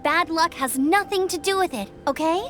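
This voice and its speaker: A woman playing a spirited, caring young female scout in a video game, energetic and earnest. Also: very high-pitched, moderate pace, over music. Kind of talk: video game cutscene acting.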